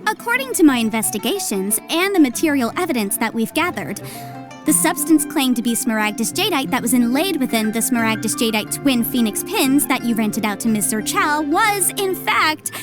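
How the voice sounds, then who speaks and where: An actress playing a confident young legal adviser in a video game, speaking in crisp, formal, lawyerly phrases. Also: female voice, high-pitched. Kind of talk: video game cutscene voice acting.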